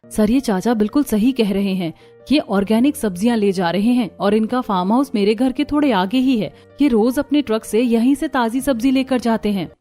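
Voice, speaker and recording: A woman's voice: on the high side, normal speed, mellow, faint music behind, natural voice. A woman voicing a poor, proud and determined young daughter-in-law in a cartoon story, speaking earnestly and respectfully.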